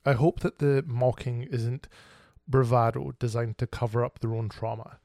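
A man's voice: mid-range voice, fairly even intonation, moderate pace, creaky, mellow, dry recording, clean studio sound. A man hosting his own podcast, talking alone in an earnest, reflective and candid way. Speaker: podcast host. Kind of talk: solo podcast monologue.

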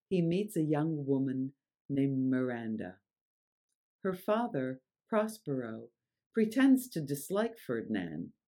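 A narrator sets up a scene from a script. The voice is mid-pitched and very slow, delivering the summary phrase by phrase like a storyteller. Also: warm tone.

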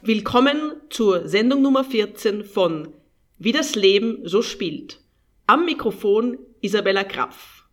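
A woman's voice: medium pitch, animated, measured pace. A woman hosting a radio show, giving a brief scripted welcome and asking plain questions of her guest.

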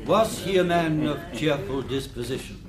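An actor playing a courteous, formal British coroner in a radio drama, questioning witnesses in a measured, probing way. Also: male voice, fairly high pitch, over music, lightly acted, low-quality recording. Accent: British English.

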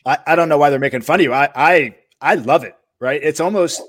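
A man's voice: fairly high pitch, fast talker, a bit bright. A man hosting an interview podcast, asking questions in a friendly, enthusiastic way and often stumbling and restarting. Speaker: podcast host.

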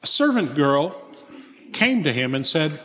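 A man's voice: a little high, sing-song, unhurried, sharp tone, a little hiss or hum. A man reading a Bible narrative aloud as the narrator at church. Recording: long church reverb.

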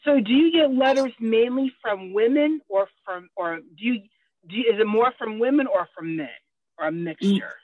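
A woman's voice: on the high side, expressive, moderate pace, dry recording. Woman on a thin phone-like line. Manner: rambling, encouraging. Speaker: co-host interviewer. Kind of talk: interview chat.

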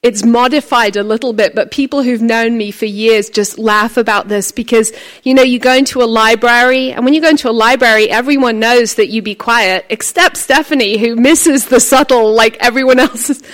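A talkative woman with a naturally loud voice preaches at a conference, telling stories and acting out quotes. Her voice sits a bit high for a woman. She talks freely and with energy. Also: brisk. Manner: chatty, animated storytelling with acted quotes. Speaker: conference speaker. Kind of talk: conference sermon.

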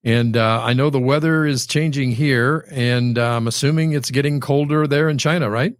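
A man's voice: medium pitch, normal speed, no room sound, studio quality. A man hosting an interview, introducing his guest and then chatting with him in a friendly, easygoing way. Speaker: host.

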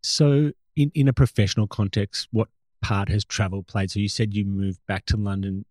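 A man hosting an interview, asking questions and adding thoughtful comments in a measured, articulate way. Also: on the low side, fairly even intonation, a little mellow, no echo. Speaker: interviewer, host.

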